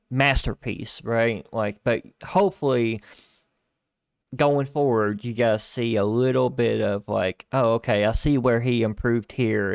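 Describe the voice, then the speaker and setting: A man chatting casually on his live stream from a home setup, his voice gravelly with fry yet smooth and resonant. He rambles, restarts and fills gaps. The sound is dry and thin in the low end, from a starter microphone. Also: no echo. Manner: rambling, with many fillers and restarts. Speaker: livestream host. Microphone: starter desk microphone lacking bass.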